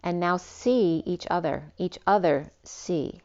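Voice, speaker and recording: A woman giving a spiritual tarot reading, rambling and free-associating with many restarts, her voice going hoarse. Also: lowish voice, slow, darkish tone, muffled recording. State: losing her voice. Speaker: tarot reader.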